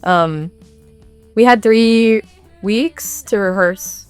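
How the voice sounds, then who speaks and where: A woman's voice: medium pitch, drawn-out words, clean tone, no room sound. A woman, an indie singer-songwriter and native speaker of Tagalog and English, chatting casually with self-deprecating humour. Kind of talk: casual interview chat. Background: music.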